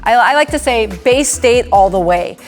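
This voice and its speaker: Female sports commentator, slightly high voice, upbeat preview read, light music under her. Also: expressive, normal speed. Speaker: basketball commentator.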